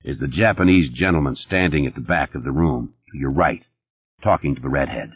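A man voicing a calm, unruffled police captain who speaks quietly with restrained menace. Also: extremely low pitch, a little fast, breathy edge, no echo, lo-fi sound, voice pushed down. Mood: calm, menacing.

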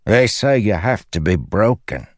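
Subdued male soldier acted by a man, soft-spoken, hesitant, audiobook character, close dry sound. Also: on the low side, very animated, unhurried, dry recording, pitched above the performer's own voice.

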